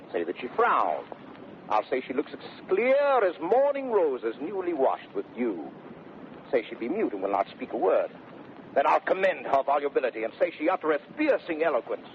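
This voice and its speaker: An actor playing a brash, teasing gentleman suitor in 1930s radio Shakespeare, declaiming with mock sweetness. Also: medium pitch, lightly acted. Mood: scheming, confident. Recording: tinny, noisy 1930s radio recording.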